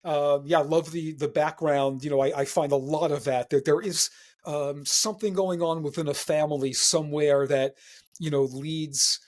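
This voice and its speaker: A man's voice: a little high, steady tone, normal speed, a little mellow. A man hosting an interview show, friendly and affirming, with frequent fillers. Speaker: interview host.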